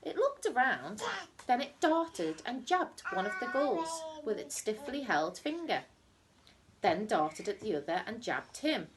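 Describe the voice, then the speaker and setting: A woman reading a children's story aloud like a teacher, in a dramatic, deliberate storytelling manner. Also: a little high, sing-song, background noise. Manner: dramatic, deliberate storytelling with emphatic repetition and hushed phrases.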